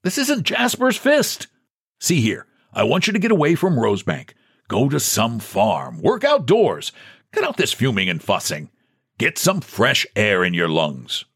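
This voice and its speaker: Man playing a patronizing, high-swooping bank president. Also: wildly swooping pitch, moderate pace, slightly rough, dry recording, studio quality, full character voice. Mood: dismissive. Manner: patronizing, falsely sympathetic, easy.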